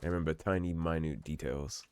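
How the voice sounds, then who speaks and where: A man giving relaxed, musing commentary over a game playthrough, his voice slightly hoarse. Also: a bass voice, fairly quick, slight background noise. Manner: relaxed, musing, reads in-game notes aloud. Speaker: game streamer. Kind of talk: let's play commentary.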